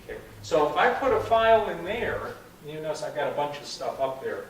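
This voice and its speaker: A man walks a club audience through a computer demo, chatty and patient, checking in with a steady "okay." He sounds distant from the mic, with strong room echo and a faint hiss behind him. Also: fairly high pitch, brisk. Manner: chatty, explains step by step with frequent "okay". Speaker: club meeting presenter. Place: meeting room, heard from a distance. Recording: echoey room, far from the mic.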